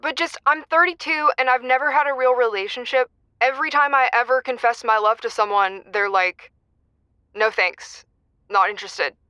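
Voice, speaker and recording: Bright, earnest, lovelorn woman. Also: fairly high pitch, moderate pace, smooth, no room sound. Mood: insecure, insistent. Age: 30s. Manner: filler-heavy millennial casual talk, stubborn. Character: earnest, obsessive lovelorn woman in her thirties. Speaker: caller to an advice show. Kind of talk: comedic audio drama, conversational phone-in.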